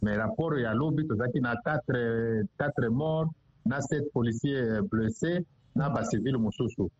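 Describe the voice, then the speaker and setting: A man's voice: medium pitch, unhurried, a little mellow, husky, dry recording. A man with a Congolese accent giving an explanatory news interview as a provincial official, switching between two languages. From Democratic Republic of the Congo. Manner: code-switches between Lingala and French. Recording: muffled, dull lo-fi line.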